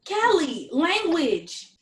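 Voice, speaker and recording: A woman's voice: extremely high pitch, huge pitch swings, drawn-out words, roomy sound, heavily acted. A woman playing a prim, nervous, self-justifying new mother in a comedy script table read. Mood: scolding.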